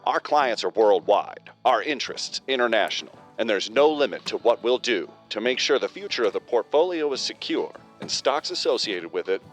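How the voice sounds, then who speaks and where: An actor playing an overly cheerful, salesy corporate training-video presenter in an audio drama. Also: male voice, medium pitch, natural voice. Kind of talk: scripted corporate promo narration in audio drama. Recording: music underneath.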